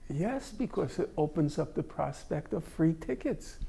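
Gravelly wry older man teaching. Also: a little high, lively intonation, measured pace, over a noisy crowd. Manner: wry, joking, self-mocking. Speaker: class instructor. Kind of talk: casual classroom talk. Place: library room with bookshelves.

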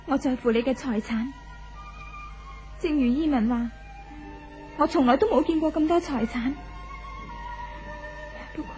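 Sad torn young wife, high voice, plaintive, old hong kong cantonese radio drama, dull sound with music under. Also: female voice, a little mellow. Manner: plaintive, confessional. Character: melancholy, conflicted young wife, restless in marriage.

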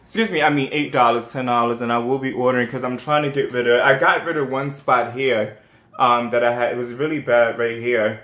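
A man's voice: medium pitch, steady tone, brisk, a bit bright, roomy sound. A young man with a New York accent, rambling through a casual skincare how-to with lots of fillers. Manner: rambling, chatty, many fillers and restarts. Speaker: skincare vlogger.

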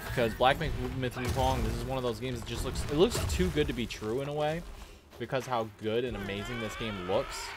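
Young man rambling over game noise. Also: mid-range voice. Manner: rambling, slangy, halting. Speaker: gaming reaction YouTuber. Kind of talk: casual off-the-cuff reaction commentary. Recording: clear background noise of game ambience.

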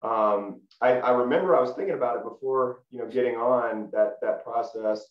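A man's voice: mid-range voice, normal speed, reverberant. Man telling stories over a dull video call. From Middle Tennessee. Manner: relaxed storytelling with frequent restarts. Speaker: guest, former college football player. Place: small room at home, indoors.

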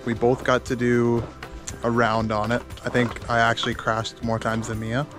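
A young man talks straight to a handheld camera, telling viewers about his day in a relaxed, friendly way. His voice is an ordinary middle pitch, neither high nor low, and a little music plays faintly underneath. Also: moderate pace. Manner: upbeat, chatty, laughs easily. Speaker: travel vlogger. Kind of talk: casual travel vlog chat. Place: outdoors in snowy woods, then hotel room. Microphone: handheld camera held at arm's length.

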